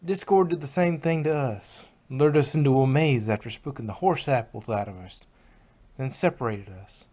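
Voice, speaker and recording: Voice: mid-range voice, moderate pace, mellow, natural voice. A performer voicing a friendly, plainspoken female country farm pony with a folksy, reassuring way of talking. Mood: earnest.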